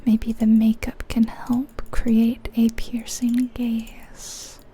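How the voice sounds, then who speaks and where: A woman whispering breathily in an ASMR style, musing aloud in an unhurried, soft way as she comments on a game. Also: on the high side, monotone, slight background noise. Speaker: ASMR video creator.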